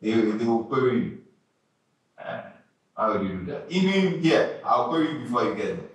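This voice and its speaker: A man teaching a classroom software tutorial, giving direct instructions and repeating short commands. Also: expressive, moderate pace, distant mic. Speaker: design instructor. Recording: echoey room with faint rumble.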